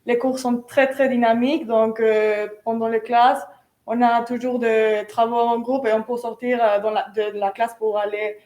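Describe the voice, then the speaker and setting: A young woman, a business student for whom French is not her first language, answering interview questions and pausing to search for words. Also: fairly high pitch, flat delivery, darkish tone. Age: young adult. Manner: searches for words, hesitant non-native phrasing. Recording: echoey room.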